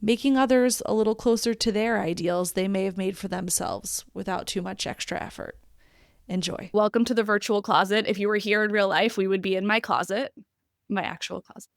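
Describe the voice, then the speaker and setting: A woman's voice: mid-range voice, steady tone, fairly quick, no echo, clean studio sound. A woman hosting a podcast, reading an introduction and then interviewing her guest in a chatty, eager way. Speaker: podcast host.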